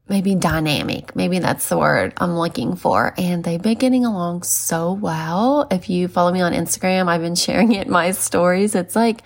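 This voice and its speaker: A woman's voice: on the low side, vocal fry. A woman in her thirties hosting a casual solo podcast, chatty with lots of fillers and little laughs, her voice congested and nasal. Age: 30s. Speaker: podcast host.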